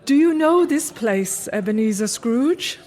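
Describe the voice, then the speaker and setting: Woman doing a grave spirit, commanding and slow, long echo effect, soft music behind. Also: fairly high pitch, expressive, some chatter behind. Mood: solemn. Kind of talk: radio drama acting. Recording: reverb effect on the voice.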